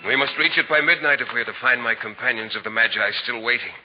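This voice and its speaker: A man's voice: mid-range voice, fast talker, soft background music, lightly acted. Male actor as earnest, devout male pilgrim sage, theatrical and solemn, vintage radio play with dull fidelity. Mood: urgent. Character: Artaban, earnest devout Magus on a holy quest. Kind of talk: 1940s radio drama acting.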